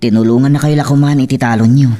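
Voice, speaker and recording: Actor as young village suitor, mellow timbre, distant mic, Ilocano accent, jealous, insistent, melodrama. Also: male voice, medium pitch, fairly even intonation, quick pace, lightly acted. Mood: worried, insistent.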